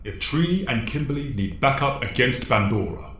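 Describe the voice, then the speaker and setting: Guy acting as stern wise mentor for a hero team, formal measured talk, mid pitch, very echoey. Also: very animated, a bit bright, a little hiss or hum. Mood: grave, formal. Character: solemn, authoritative male mentor and strategist of young heroes. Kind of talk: audio drama acting. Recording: echoey, reverberant voice.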